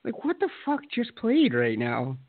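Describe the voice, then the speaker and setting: A man's voice: sits high, huge pitch swings, unhurried, no echo. Laughing man, tinny phone line. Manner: laughs a lot, swears, jokey asides. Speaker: podcast co-host. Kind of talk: casual chat. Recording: dry, phone-like sound.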